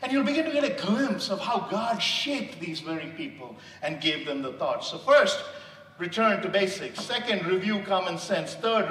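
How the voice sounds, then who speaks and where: A man from India with an Indian accent, giving an impassioned sermon-style speech as a motivational speaker. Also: a little high. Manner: impassioned, rising to loud emphasis. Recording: echoey hall, distant mic.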